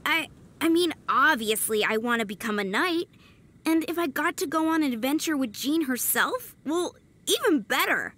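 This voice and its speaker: A woman's voice: sits high, animated, unhurried, bright, forceful tone, full character voice. A woman playing an earnest, eager young aspiring knight in a video game, enthusiastic and modest. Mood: excited. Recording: faint background music.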